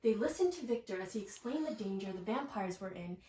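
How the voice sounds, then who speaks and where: A woman reading a children's bedtime story aloud in an animated way, with asides to the young listeners. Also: mid-range voice, a little fast. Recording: echoey room, distant mic, faint noise.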